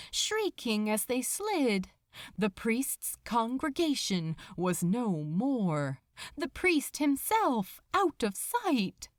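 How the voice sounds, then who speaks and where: A woman reading fiction aloud as a narrator, in an expressive, suspenseful and deliberate manner. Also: on the high side, no echo, studio quality. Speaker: audiobook narrator. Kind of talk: dramatic scripted narration of fiction.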